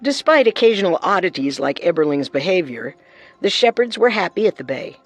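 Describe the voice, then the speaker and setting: A woman narrates true crime from a script as a co-host. Her voice is on the low side, with music playing underneath. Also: very animated, brisk, no room sound.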